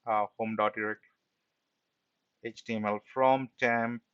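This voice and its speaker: A man's voice: lowish voice, little pitch movement, measured pace, fairly bright tone, clear, resonant tone, no echo, highs cut off. A man giving an informal screen-share programming tutorial as an instructor, explaining step by step and often checking in with a short tag question.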